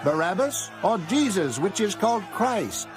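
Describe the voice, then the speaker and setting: A man's voice: sits high, very animated, measured pace. A man playing a commanding Roman governor in a dramatized scripture reading, declaiming formally. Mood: urgent, insistent. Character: male Roman governor, commanding, questioning a crowd. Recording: dramatic music behind the voice.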